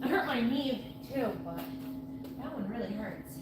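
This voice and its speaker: A woman's voice: fairly high pitch, lively intonation, measured pace. A woman in her late twenties chatting casually and informally on a live workout stream, often repeating herself. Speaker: livestreamer doing a workout live. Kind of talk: casual livestream chat while exercising. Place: indoor gym. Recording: noisy gym ambience, varying mic distance.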